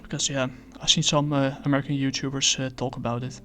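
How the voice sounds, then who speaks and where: A man casually reviewing a comic book, talking in a halting way with many fillers and restarts. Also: medium pitch, flat delivery, a bit bright, soft background music.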